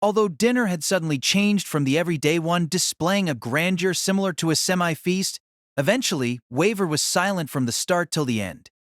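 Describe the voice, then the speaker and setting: A man's voice: sits high, a little fast, dry recording, clean studio sound. A man narrating a novel as a scripted audio drama.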